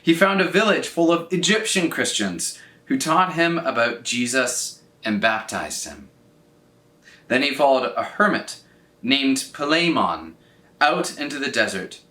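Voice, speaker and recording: A man narrates a story for children with very lively, varied intonation. His voice is bright and clear-cut, and he stretches words for dramatic effect. The recording sounds echoey, as if he is standing back from the microphone in a room. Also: medium pitch, measured pace. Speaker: storyteller reading aloud for children. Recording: roomy echo, distant from mic.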